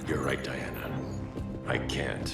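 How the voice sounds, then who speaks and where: An actor playing a grim, controlled vigilante hero in a video game, terse and stern in a commanding way. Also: male voice, rumbling low, fairly even intonation, slow pace, creaky, echoey room, loud music behind the voice, heavily acted. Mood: grim resolve.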